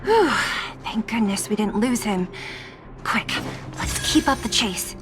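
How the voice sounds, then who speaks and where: A woman voicing a watchful young woman leading a covert chase in a video game, brisk and bossy in a conspiratorial way. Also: on the high side, very animated, music in the background. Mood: urgent, wary.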